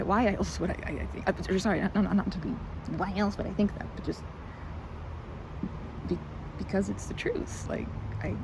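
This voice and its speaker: A woman's voice: medium pitch, creaky, background noise. A woman playing a timid, nervous young woman in an ASMR roleplay, stammering, repeating words and rambling in quirky, formal phrasing. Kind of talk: ASMR roleplay, one-sided audio drama.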